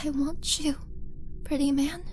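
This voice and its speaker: A woman playing a vengeful, seductive monster warrior in a wistful, theatrical roleplay monologue, with dramatic pauses. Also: high-pitched, fairly even intonation, darkish tone, slight background noise. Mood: yearning, seductive.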